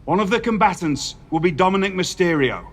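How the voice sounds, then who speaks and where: A stern older wrestling manager. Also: male voice, sits high, expressive. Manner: measured, formal, lecturing. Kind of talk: video game voice acting.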